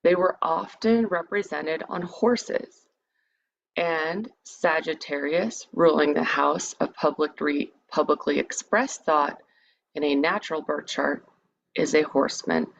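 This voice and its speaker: Low, creaky-voiced woman guiding a meditation. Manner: soothing, measured, invitational delivery. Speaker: guided meditation leader. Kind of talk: guided meditation, part improvised, part read lecture. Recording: dull, lo-fi sound with background noise.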